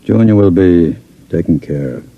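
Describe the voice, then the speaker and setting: An actor playing a smug, menacing gang boss in an old-time radio crime drama, talking in a condescending way. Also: male voice, sits low, lively intonation, normal speed, no echo, phone-quality sound. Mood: smug, reassuring.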